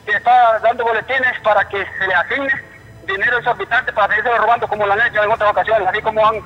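Over a dull, reverberant phone line comes a high-voiced man with a Honduran accent. He is calling in to a news programme and sounds indignant. His speech is halting, with phrases often repeated. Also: steady tone. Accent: Honduran Spanish. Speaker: phone-in caller, relative of a patient. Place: telephone call to a TV studio.

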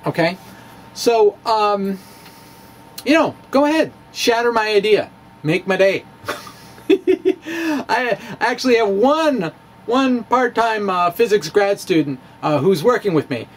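A middle-aged man giving a dramatic reading of a science blog to camera, theatrical and emphatic, with halting phrasing and stretched words. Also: high-pitched, expressive, slight background noise. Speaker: amateur physicist and video blogger. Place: small indoor room with bookshelves. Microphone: handheld-style microphone on a stand, close in front.

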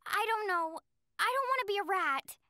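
A woman's voice: very high-pitched, animated, moderate pace, no echo, studio quality, full character voice. A timid young schoolgirl. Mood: nervous. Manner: hesitant, soft-spoken. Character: timid schoolgirl, anxious and honest.

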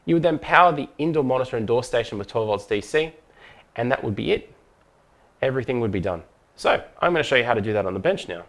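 A man's voice: mid-range voice, animated, fast talker, fairly bright tone. A man presenting a hands-on product tutorial in an informal, methodical, step-by-step way.

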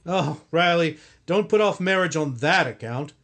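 A man plays a stingy, gruff shopkeeper boss in an old radio comedy. His voice is high for a man and very animated, brusque and full of bluster. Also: normal speed. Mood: grudging. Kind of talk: recreated 1950s radio sitcom acting.

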